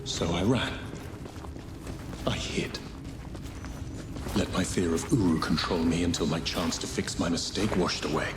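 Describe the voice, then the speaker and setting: An actor playing a weary, regretful death god in a video game, speaking in a solemn, measured way. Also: male voice, low-pitched, steady tone. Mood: somber confession. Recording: roomy echo, noisy game ambience.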